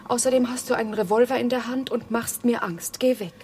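A woman playing hushed, intense young wife. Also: fairly high pitch, fairly even intonation, a little fast, a little hiss or hum, natural voice. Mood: urgent. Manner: intense, often hushed and whispering. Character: Eva, young wife torn between two men. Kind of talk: German radio play acting.

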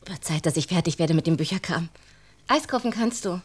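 A woman playing a busy, brisk, mildly impatient mother in a children's radio play. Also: fairly low pitch, expressive, husky.

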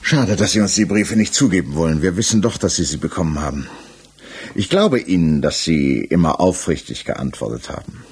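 Insistent low-voiced male interrogator. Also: animated, moderate pace, darkish tone, slightly rough, full character voice. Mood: insistent, sceptical. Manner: formal, probing, pointed questioning. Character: insistent male examining magistrate, coolly sceptical and probing. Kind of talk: 1960s German radio play acting, interrogation dialogue.

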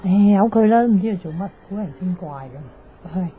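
A woman's voice: fairly low pitch, lively intonation, moderate pace, close to an everyday voice. An actress with a Hong Kong Cantonese accent playing a sassy, nosy, teasing young woman in a radio drama. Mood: teasing, blunt. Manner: teasing, blunt. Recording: dull, noisy radio drama recording.

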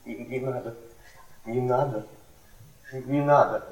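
An actor playing an indignant young scholar husband, urgent, anxious and pleading, in a Russian radio drama. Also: male voice, medium pitch, fairly even intonation, measured pace, close to an everyday voice. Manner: urgent, agitated. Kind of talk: Russian stage-style radio drama acting. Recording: echoey theatre, far from mic.

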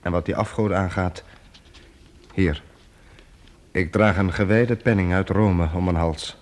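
Modest young journeyman in a radio play, somewhat low voice. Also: fairly even intonation, a little slow. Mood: earnest. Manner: modest, earnest. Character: humble young male wandering journeyman.

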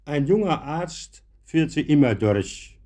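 An actor playing an opinionated old professor in a German radio drama, emphatic, ironic and given to philosophizing. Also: male voice, a little high, animated, takes it slowly, warm tone. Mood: grave, reproachful. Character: opinionated old professor defending imagination over sober reason.

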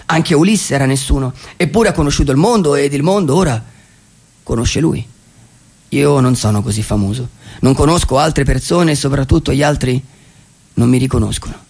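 A man playing a dreamy vagabond poet who calls himself nobody, in a halting, reflective theatrical monologue in speech. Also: mid-range voice, creaky, a little mellow. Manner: halting, repeats words and phrases reflectively.

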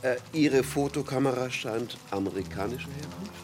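An actor playing a pompous, formal literature professor in a German radio drama. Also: male voice, mid-range voice, normal speed, natural voice. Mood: self-important. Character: pompous literature professor accosting a young woman.

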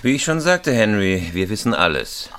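An actor playing a calm, commanding escaped robber in a German radio crime drama, politely threatening. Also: male voice, fairly low pitch, moderate pace. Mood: cool menace. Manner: controlled, polite but threatening.

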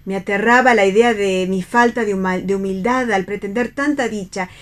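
An actress in a radio play voicing a humble, devout young salvationist in love, earnest and lyrical. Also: female voice, mid-range voice, normal speed, close to an everyday voice. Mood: tender, wistful. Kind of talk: read radio theatre.

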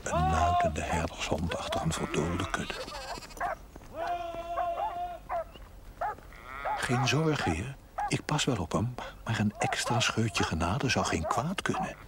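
An actor playing a stern inner voice that reproaches a village chaplain in a deliberate, theatrical television drama monologue. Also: male voice, fairly low pitch, wildly swooping pitch, heavy background noise. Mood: grave, scolding.

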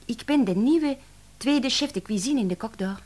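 A woman's voice: fairly high pitch, animated, moderate pace, a little mellow. A woman acting an effusive young French cook in a Dutch radio play, with a French accent and French phrases sprinkled through her talk. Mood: distraught. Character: excitable young Frenchwoman, devoted office cook and assistant.

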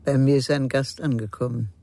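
An actor in a radio crime drama playing a wary, nosy village innkeeper, guarded and curt. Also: male voice, mid-range voice, steady tone, a little fast, creaky, mellow, natural voice.

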